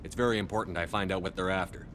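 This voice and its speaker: An actor playing a resolute military commander in a sci-fi video game, terse and businesslike. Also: male voice, sits low, fairly even intonation, rapid-fire, background noise, lightly acted. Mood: firm, inquiring. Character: resolute male military commander, adult, space soldier.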